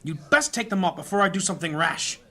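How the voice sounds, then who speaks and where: An actor playing a pious, anxious priest with a formal, righteous manner in a fantasy audio drama. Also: male voice, sits high, fast talker, bright, forceful tone, exaggerated voice. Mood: outraged. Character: pious, anxious, easily outraged male priest.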